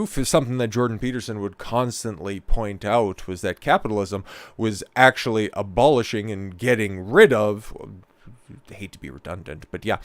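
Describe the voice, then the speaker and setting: A man with a fairly low, smooth, resonant voice hosts a livestream, reacting to clips with sarcastic, rambling asides and plenty of ums. The sound is dry and close, with no room around it. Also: dry recording. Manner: sarcastic, rambling, with many fillers. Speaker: livestream host. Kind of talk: casual reaction commentary.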